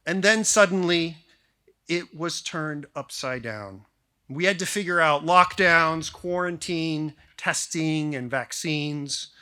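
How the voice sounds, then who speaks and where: A man in his forties with an American accent, delivering a prepared ceremonial speech in measured phrases with deliberate pauses. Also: on the high side, sharp tone, clear, resonant tone. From grew up in Japan, then suburban Detroit, US. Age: 40s. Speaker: school faculty member giving a valedictory speech. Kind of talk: prepared formal speech to graduating class.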